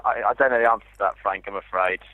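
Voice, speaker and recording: A man's voice: lowish voice, fairly even intonation, racing, dry recording. A man working as an insurance call handler, patiently explaining things over a tinny phone line. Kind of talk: customer service phone conversation.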